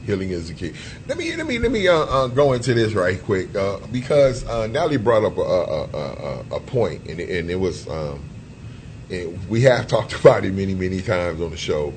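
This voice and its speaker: A man co-hosting a podcast, talking loosely and profanely, with lots of "you know" fillers and sudden jumps in pitch. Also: big pitch swings, moderate pace, slight background noise. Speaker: co-host. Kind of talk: casual podcast discussion.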